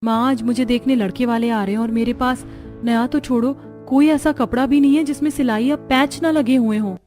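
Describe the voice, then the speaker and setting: Cartoon daughter-in-law, grateful and deferential, higher register, female actor, light music behind. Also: fairly even intonation, a little fast, darkish tone, natural voice. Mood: earnest.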